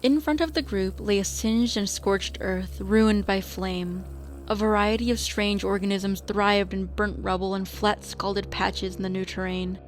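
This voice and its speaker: A woman's voice: mid-range voice, fairly even intonation, measured pace, soft background music. A woman narrating a scripted radio drama as its storyteller.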